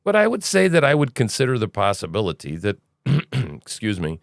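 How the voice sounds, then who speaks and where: A man's voice: medium pitch, huge pitch swings, moderate pace, gravelly, no echo, clean studio sound. A man hosting a casual news commentary podcast, rambling and opinionated, with sarcastic asides. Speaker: podcast host.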